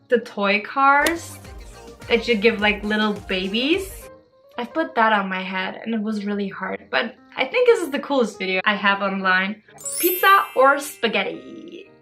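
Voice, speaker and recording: A woman's voice: a little high, bright, forceful tone. A woman, chatting casually and expressively in a bubbly way as she answers questions for a vlog. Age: early 20s. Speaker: social media creator answering follower questions.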